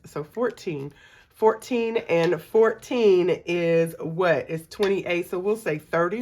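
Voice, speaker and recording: A middle-aged woman, a scratchy voice from a cold, chatting casually with many ums and counting money aloud. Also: deep voice. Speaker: budgeting channel host. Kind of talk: casual chat while cash stuffing.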